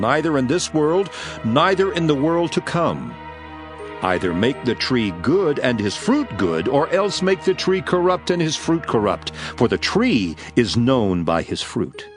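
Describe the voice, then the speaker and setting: A man performs a grave male teacher in a scripture drama. His low voice moves animatedly through emphatic, measured preaching, with clear music behind it. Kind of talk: dramatized scripture reading, solemn oratory.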